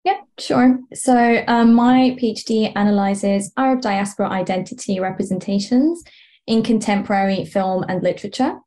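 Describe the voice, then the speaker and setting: A woman, a native Arabic speaker and doctoral student, answering interview questions thoughtfully with frequent pauses. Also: mid-range voice, warm tone. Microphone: video call mic.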